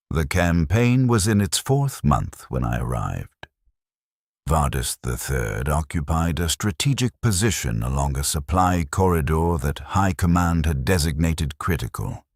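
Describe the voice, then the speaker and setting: A man playing a weary military logistics clerk who recounts his war service in a measured, reflective first-person narration. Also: very deep voice, a little mellow, no room sound, clean studio sound, heavily acted.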